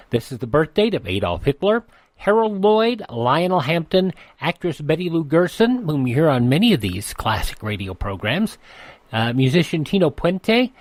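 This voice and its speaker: A man's voice: on the high side, no room sound. A man with an American accent presenting a radio show in a host's delivery.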